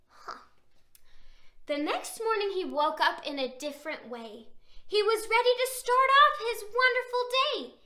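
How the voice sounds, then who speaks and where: A woman's voice: very high-pitched, pushed and bright. A woman reading a picture book aloud to young children, with exaggerated wonder, sing-song stretched words and questions put to her listeners. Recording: roomy echo.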